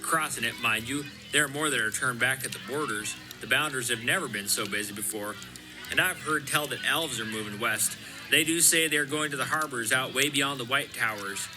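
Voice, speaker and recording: Simple, earnest country hobbit male actor, folksy and full of wonder, audio-play style over loud music. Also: mid-range voice, normal speed, natural voice, low-quality recording. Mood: wistful, wondering. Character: earnest, credulous rustic male hobbit gardener. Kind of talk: audio drama acting.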